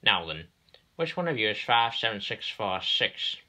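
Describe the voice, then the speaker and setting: Guy doing a strict railway boss from a kids story, bossy, measured, really sharp forceful voice. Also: fairly low pitch, big pitch swings, voice pushed up, full character voice. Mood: stern, suspicious. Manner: commanding, deliberate. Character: stern, authoritative male railway director, patient but grim.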